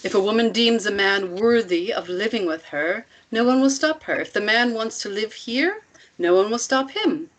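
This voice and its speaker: A woman playing an older, self-assured commune matriarch in a script table read, speaking in a measured, deliberate way with pointed rhetorical questions. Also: mid-range voice, animated, close to an everyday voice, low-quality recording. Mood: calm, assured.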